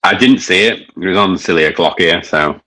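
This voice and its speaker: A man's voice: sits low, fairly quick, sharp tone. A man with a mild northern English accent, chatting casually while reviewing beer, with many fillers and hesitations. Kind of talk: casual chat.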